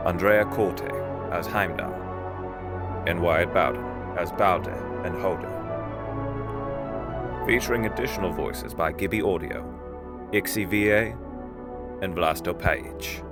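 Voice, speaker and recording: Low, slow male announcer. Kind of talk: scripted credits narration. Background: music.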